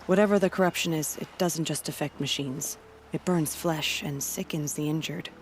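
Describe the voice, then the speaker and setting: An actress playing a proud female warrior who guards a border village, speaking in a solemn, steady and devout way. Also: deep voice, moderate pace, slight background noise, lightly acted.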